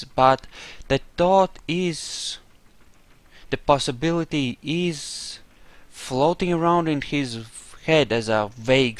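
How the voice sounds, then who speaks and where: A man's voice: a little high, no room sound. A man giving casual roleplay playthrough commentary, musing hesitantly as he thinks aloud about what his character believes. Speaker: gaming video host.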